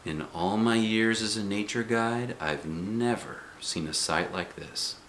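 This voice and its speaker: A man reading aloud, voicing a calm, gentle male nature guide who speaks softly. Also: on the low side, a little slow, reverberant, slight background noise. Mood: soothing. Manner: soft and soothing.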